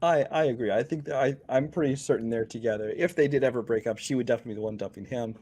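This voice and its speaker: A man with a medium-pitched voice talks casually on a movie podcast. His manner is easygoing and measured, and he steers the conversation from one film to the next. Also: darkish tone, breathy edge. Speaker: podcast co-host.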